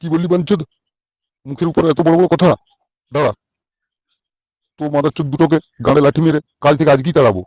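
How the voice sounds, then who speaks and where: A man's voice: on the high side, fast talker, pauses often, darkish tone, dry recording, lightly acted. A man voicing a brusque, foul-mouthed older boss who bullies his workers in a comedy cartoon. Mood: flustered bluster. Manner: brusque, scolding. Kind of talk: Bengali comedy cartoon dub.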